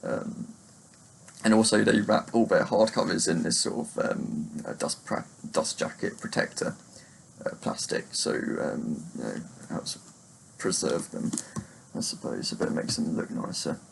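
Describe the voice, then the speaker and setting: A man with a low-pitched voice and a heavy creak talks casually about books to camera. He speaks without a script, halting and rambling as he feels his way through each thought. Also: expressive, a little hiss or hum, rough recording. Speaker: book reviewer vlogger. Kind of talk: casual unscripted book chat to camera.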